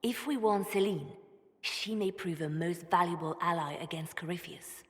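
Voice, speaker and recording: A woman's voice: fairly low pitch, moderate pace, close to an everyday voice. An actress playing a composed, discreet female advisor in a fantasy video game, speaking formally and with reserve. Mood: composed, briefing. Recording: long hall reverb.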